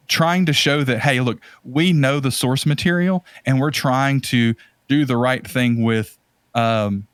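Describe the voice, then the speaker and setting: A man's voice: medium pitch, dry recording. Emphatic man chatting, close dry sound. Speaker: podcast host. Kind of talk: casual chat, opinionated film commentary.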